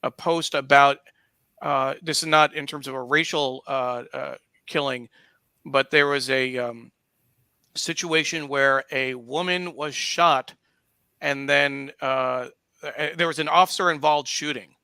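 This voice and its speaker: Bright, clear-voiced man hosting a discussion. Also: medium pitch, steady tone, unhurried, no room sound. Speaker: guest host of an online discussion show. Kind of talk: conversational interviewing.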